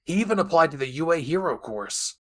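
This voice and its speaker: A man playing a gruff, blunt, short-tempered adult male hero in an anime audio roleplay, sarcastic and menacing. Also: fairly high pitch, sing-song, a little fast, a bit bright. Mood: weary, serious.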